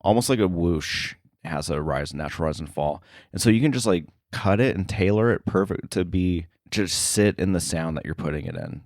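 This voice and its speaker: A man with a low voice talks as a podcast guest in a casual interview. He is hesitant, thinking aloud as he goes, and the sound is close and dry. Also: expressive, moderate pace, few pauses, a little mellow, dry recording.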